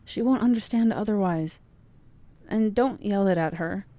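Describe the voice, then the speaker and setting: A woman acting in an audio drama, playing a calm, soothing young woman with a wry streak. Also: medium pitch, normal speed, lightly acted. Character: calm, teasing young woman, terminally ill.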